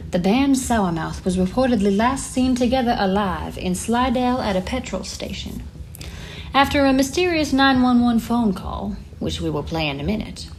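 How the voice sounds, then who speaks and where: An actress playing a composed radio host in an audio drama, announcing in a polished, dramatic broadcast style. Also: female voice, on the high side, close to an everyday voice. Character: composed female radio host presenting a crime exclusive. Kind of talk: scripted radio broadcast presenting. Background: music.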